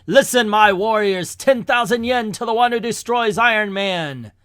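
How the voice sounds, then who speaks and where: A man voicing a loud, boastful, tyrannical warlord from a comic book, blustering and barking orders. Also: sits high, expressive, unhurried, no echo, clean studio sound, pitched above the performer's own voice. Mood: commanding. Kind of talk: comic book villain read-aloud.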